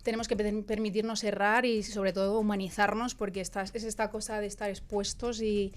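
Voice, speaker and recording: A woman's voice: medium pitch, brisk. A young woman with a Madrid accent, speaking as a guest in a casual discussion, emphatic and full of restarts. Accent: Madrid Spanish. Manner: lively, emphatic, with frequent restarts.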